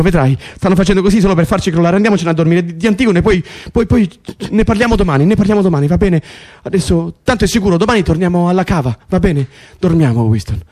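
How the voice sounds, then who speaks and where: A man acting in Italian radio theatre as an anxious, pleading political prisoner, speaking in halting, repetitive bursts. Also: on the high side, a little mellow, close to an everyday voice. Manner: halting, repeating himself in nervous bursts. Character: male political prisoner, anxious, pleading, counting days to release. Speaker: stage actor in a radio play.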